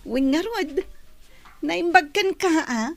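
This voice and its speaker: A woman's voice: extremely high pitch, animated, drawn-out words, gravelly, faint music behind, full character voice. A woman playing a playful, teasing young best friend in an audio drama. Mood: teasing, amused. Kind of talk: Ilocano audio drama acting.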